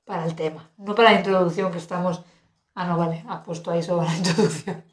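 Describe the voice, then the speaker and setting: A woman in her thirties from Spain, co-hosting a podcast in a casual, animated chat full of exclamations and laughter. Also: on the low side, fast. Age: 30s. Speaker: podcast co-host. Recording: roomy echo.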